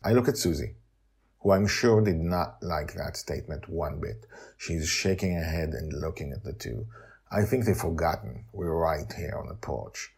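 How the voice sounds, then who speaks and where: A man hosting a podcast as its author, speaking in a halting, candid and emphatic way with a husky voice. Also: a bass voice, animated, darkish tone. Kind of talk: casual spoken introduction, then story narration.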